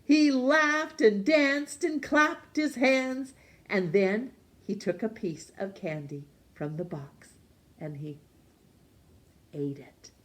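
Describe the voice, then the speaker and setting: A woman reading a children's storybook as narrator, expressive, with dramatic pauses and emphasis. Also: a little high. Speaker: storytime reader.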